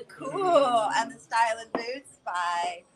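A woman's voice: on the high side, big pitch swings, extremely slow, a crowd in the background, low-quality recording. A woman interviewing casually, with effusive, encouraging interjections between her questions. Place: outdoor terrace by a beach. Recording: outdoor recording with background noise.

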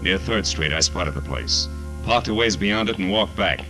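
An actor playing a tough, wisecracking private detective, terse and sardonic, in 1940s radio noir style. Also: male voice, deep voice, animated, normal speed, over music, rough recording. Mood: brooding, wry. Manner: terse, sardonic, hard-boiled.

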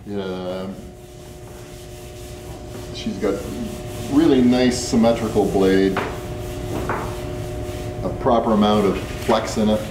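An older man with a somewhat low voice speaks as a craft teacher, deliberately, phrase by phrase. Clear workshop noise sits behind him. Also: steady tone. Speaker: paddle-carving teacher. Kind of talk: measured appraisal and explanation. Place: wooden workshop indoors. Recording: noisy workshop.

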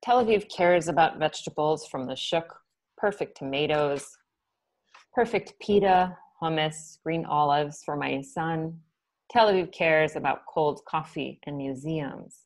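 A woman poet reading her own poems on a video call in a soft, measured way, with short spoken asides between them. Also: sits low.

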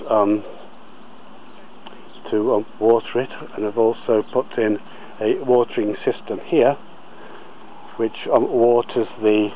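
A man giving a slow, halting outdoor tour of his allotment plot, with many pauses and fillers. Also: mid-range voice, phone-quality sound, slight background noise. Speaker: allotment gardener.

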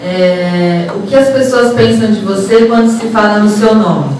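A woman's voice: mid-range voice, a little slow, a little mellow. A woman gives an informal lecture, conversational and checking in with short tag questions. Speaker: psychologist and HR professional giving a lecture. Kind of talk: informal motivational lecture to students. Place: auditorium, speaking to an audience. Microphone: handheld microphone through room speakers, distant recording. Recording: echoey hall from far off, noisy.

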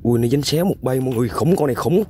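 A man with a Vietnamese accent hosting an outdoor eel-fishing vlog by a riverbank. He gives running commentary to viewers while he works, with excited exclamations. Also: mid-range voice, very fast, slight background noise. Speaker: eel-fishing channel host.

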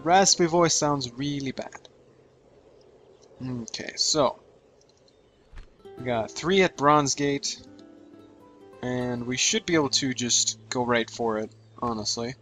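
Man, let's play commentary, joking, offhand, a bit hoarse, faint game music behind. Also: medium pitch, takes it slowly, long pauses, fairly bright tone. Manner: joking, offhand, talks to himself while playing. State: slightly hoarse. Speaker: gaming channel host.

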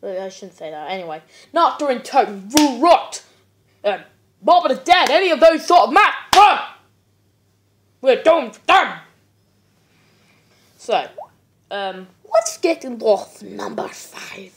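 A woman's voice: on the high side, big pitch swings, slow, pauses often, slight background noise. A woman giving casual, slangy gaming commentary, brash and swearing now and then, with a cough that leaves her voice a bit off. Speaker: gaming video creator.

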